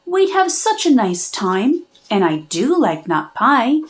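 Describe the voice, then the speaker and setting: A woman's voice: a little high, very animated, takes it slowly. A woman voicing a tiny, lonely girl mouse from a children's storybook, sweet and pleading in a sing-song way. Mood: wistful, polite.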